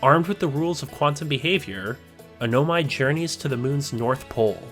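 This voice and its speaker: A man narrating a scripted video essay in a measured, explanatory way. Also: medium pitch, expressive, fairly bright tone, faint music behind.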